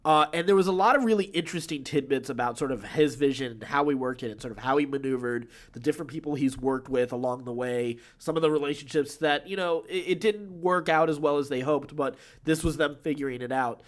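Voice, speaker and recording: A man's voice: a little high, fairly quick, few pauses. A man co-hosting a podcast, chatting casually about film news. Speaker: podcast co-host.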